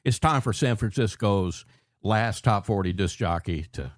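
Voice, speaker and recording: A man's voice: fairly low pitch, no echo, studio quality. An older man hosts a conversational radio interview, often restarting his phrases as he goes. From American South. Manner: halting, repeats phrase openings. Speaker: radio show host.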